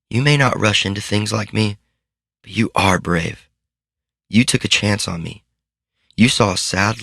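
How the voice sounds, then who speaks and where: A man voicing a kind, loyal young girl who speaks to her friend in a warm, heartfelt way. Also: deep voice, vocal fry, no echo, studio quality, full character voice.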